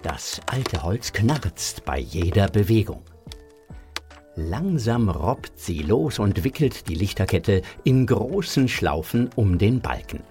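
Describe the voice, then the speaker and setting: A man's voice: lowish voice, sing-song, slow, a little mellow, soft background music. A man narrating a scripted audio drama for children.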